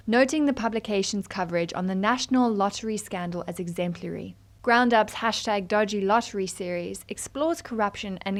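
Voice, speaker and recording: A young adult woman narrates a written news feature. Her voice sits at a middle pitch, and she delivers the text as a scripted read, in the role of a student journalist reading an article.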